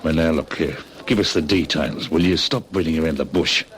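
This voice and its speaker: An actor playing a wary, practical Australian accomplice in an old radio crime drama, blunt and colloquial. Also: male voice, extremely low pitch, brisk, a bit bright.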